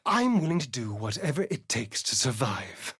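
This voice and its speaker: A man's voice: mid-range voice, big pitch swings, moderate pace, a bit bright, husky, dry recording, studio quality, heavily acted. An actor playing a sly, haughty male vampire rogue in a video game, with an arch, drawling, sardonic delivery. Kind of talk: video game character voice acting.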